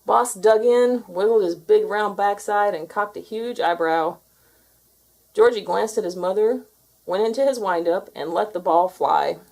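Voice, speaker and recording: A woman reading a children's story aloud as an expressive narrator. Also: mid-range voice, unhurried, creaky. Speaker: storybook reader.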